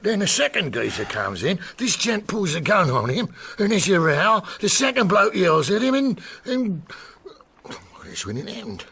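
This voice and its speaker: Gravelly petty crook. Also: male voice, sits high, very animated, moderate pace, bright, forceful tone, full character voice. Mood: earnest. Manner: hesitant, colloquial storytelling. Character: nervous working-class petty cat burglar, cheeky eyewitness. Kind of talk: period detective audio drama.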